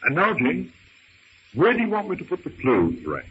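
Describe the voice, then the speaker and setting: On a muffled, faintly hissy old radio recording, a proper English gentleman stranded in the jungle. His pitch moves animatedly through formal, earnest sentences. The delivery is theatrical adventure-serial drama. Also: huge pitch swings, moderate pace. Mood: brisk, practical. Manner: formal, genteel, theatrical phrasing.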